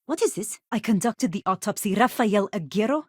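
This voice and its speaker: A woman's voice: mid-range voice, lively intonation, brisk, husky, no room sound, studio quality. Brusque, sardonic woman station doctor. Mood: impatient. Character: curt, irritable woman doctor on a space station. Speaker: video game voice actor. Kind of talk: video game dialogue.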